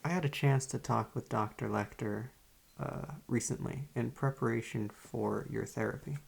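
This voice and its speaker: Psychiatrist, male actor, mid-pitched and husky, formal and gently probing, measured soft delivery for ASMR roleplay. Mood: calm, courteous. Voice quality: creaky, husky. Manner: measured, polite, clinical, soft-spoken. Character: calm, formal, probing male psychiatrist interviewing a young patient. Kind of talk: ASMR roleplay, soft-spoken scripted drama.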